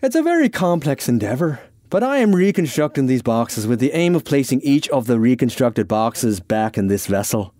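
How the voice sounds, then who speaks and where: A man's voice: medium pitch, big pitch swings, normal speed, few pauses, darkish tone, pitched above the performer's own voice, natural voice. A man voicing an eccentric, mischievous Irish ghost in an audiobook story, wordy and blithely unbothered. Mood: blithe, matter-of-fact.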